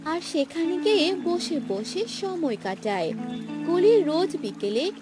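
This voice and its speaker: High, animated woman storyteller over music. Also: takes it slowly. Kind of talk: scripted fairy-tale cartoon narration.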